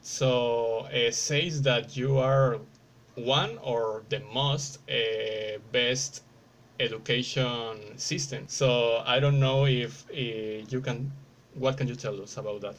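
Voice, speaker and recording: A man's voice: medium pitch, fairly even intonation, takes it slowly, fairly bright tone. A man who is not a native English speaker, asking casual interview questions and searching for his words.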